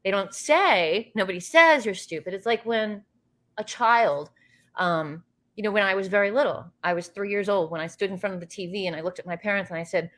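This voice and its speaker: A woman from the United States, a guest in a casual interview, telling animated, rambling stories with many fillers and restarts. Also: mid-range voice, a little fast. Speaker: interview guest, actress and filmmaker.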